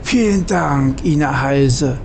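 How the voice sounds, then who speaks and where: A man's voice: mid-range voice, slow, vocal fry, a little mellow, a little hiss or hum. A man hosting a gameplay video, reading out game dialogue and adding casual comments over game sound. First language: German. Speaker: gameplay host. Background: faint game music.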